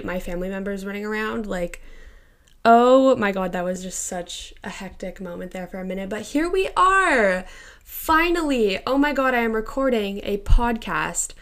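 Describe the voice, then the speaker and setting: Gushing, excitable young woman podcaster. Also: medium pitch. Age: 20s. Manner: gushing, excitable, lots of "like" and "literally". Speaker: podcast host. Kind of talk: casual solo podcast chat. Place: quiet room at home. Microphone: home podcast microphone.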